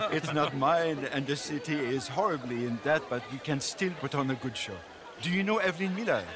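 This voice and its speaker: A man's voice: fairly high pitch, animated, moderate pace, a crowd in the background, close to an everyday voice. A man playing an urbane mayor. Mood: wry. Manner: urbane, wry. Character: genteel, wry male city mayor.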